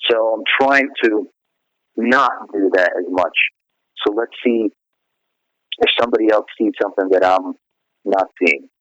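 A man's voice: fairly low pitch, measured pace, pauses often, a bit bright, no room sound. A middle-aged male singer being interviewed, chatting casually and rambling with lots of "you know" fillers and restarts. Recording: dry, dull lo-fi radio sound.

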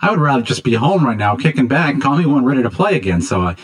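A man's voice: mid-range voice, very animated, quick pace, slightly rough. A man co-hosting a radio interview, talking loosely with lots of fillers and restarts. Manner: loose, filler-heavy, easygoing. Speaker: radio co-host. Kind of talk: casual interview chat.

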